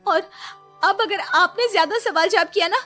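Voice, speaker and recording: A woman's voice: very high-pitched, normal speed, bright, forceful tone, soft background music. A woman voicing a quarrelsome young cartoon wife who is indignant, whining and easily offended. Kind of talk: cartoon dialogue.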